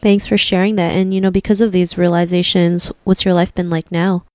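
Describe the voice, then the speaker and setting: A woman's voice: on the low side, level tone, brisk, dry recording. A woman from the Philippines hosting a podcast interview, encouraging, with many "you know" fillers. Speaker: podcast host.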